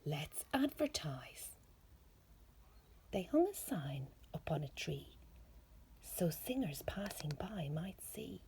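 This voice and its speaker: A woman with a husky, breathy voice reading a rhyming children's story aloud in slow, deliberate phrases. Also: on the low side, sing-song, dark tone, slight background noise. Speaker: storybook reader.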